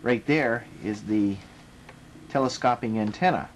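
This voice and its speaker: An American man presents a casual, unscripted product demonstration. He explains things step by step, pausing and restarting as he goes. The home camera recording carries faint background noise. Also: mid-range voice. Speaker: video presenter demonstrating a radio. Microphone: camera microphone.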